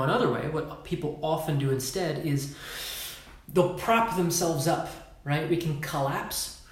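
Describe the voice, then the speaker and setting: Man, fairly high voice, emphatic, casual swearing, echoey room, live stream. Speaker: workshop leader. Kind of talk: Facebook Live talk.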